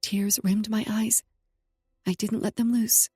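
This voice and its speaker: A woman narrating a romance audiobook in first person, soft and emotional. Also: medium pitch, level tone, slow pace, husky, no room sound, clean studio sound.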